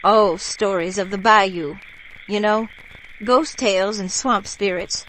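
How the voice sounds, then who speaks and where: A woman's voice: medium pitch, fairly even intonation, takes it slowly, fairly bright tone, a little hiss or hum, low-quality recording. A woman playing a neighborly, folksy local with a Louisiana bayou accent in an audio drama. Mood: friendly, folksy.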